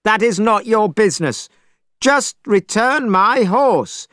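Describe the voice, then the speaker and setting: A man voicing an impatient, fierce monkey hero who shouts and scolds. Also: high voice, lively intonation, takes it slowly, no room sound, clean studio sound, pitched above the performer's own voice, exaggerated voice. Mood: angry shouting. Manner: shouting, hectoring.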